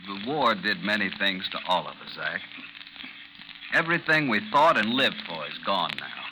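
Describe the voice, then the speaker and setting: Earnest, pleading ex-officer, noisy recording. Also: male voice, fairly low pitch, sing-song, normal speed. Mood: pleading, firm. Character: earnest, protective male friend, a former army officer. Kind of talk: 1950s radio drama acting. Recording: noisy, sizzling 1950s radio recording.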